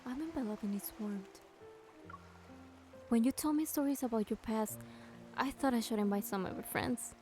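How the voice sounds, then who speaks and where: A woman plays a sweet young heroine in a romance game. Her voice is fairly high yet very mellow, wistful and affectionate, with music underneath. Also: moderate pace, pauses often, close to an everyday voice. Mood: gentle, wistful. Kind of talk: romance game voice acting and first-person narration.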